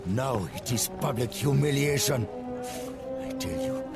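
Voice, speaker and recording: A man's voice: medium pitch, huge pitch swings, a little slow, over loud music. A man playing a fervent, indignant Indian rebel in a video game cutscene, speaking in a solemn, impassioned, declamatory way. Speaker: game character.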